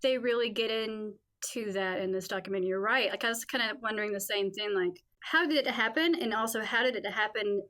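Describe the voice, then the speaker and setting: A woman's voice: medium pitch, fairly quick, hardly any pauses, a bit bright. Informal, emphatic woman chatting on a podcast. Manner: informal, emphatic, heavy use of "like". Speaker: podcast co-host. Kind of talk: casual film discussion.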